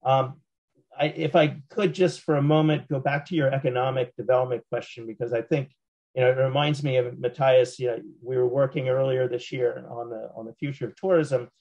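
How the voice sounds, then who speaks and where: A man in his sixties, slightly hoarse, answering as a panelist from a home office over a video call, measured and often restarting his words. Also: mid-range voice, steady tone, a little mellow, highs cut off. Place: small home office at night. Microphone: video call, laptop camera.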